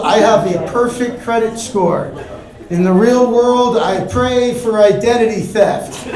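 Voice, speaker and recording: A young man from Miami doing a stand-up set on a comedy club stage, with a deadpan, offhand delivery and quick asides. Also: high voice. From Miami, Florida. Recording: roomy club with crowd laughter. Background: audience laughter.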